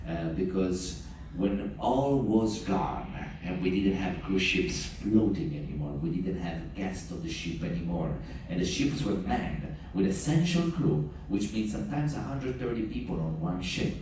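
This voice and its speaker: Man, joking cruise director, low voice, far off in a very echoey room. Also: a little slow, a little hiss or hum. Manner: joking, animated storyteller. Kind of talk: lively onboard talk to an audience. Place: large room on a cruise ship.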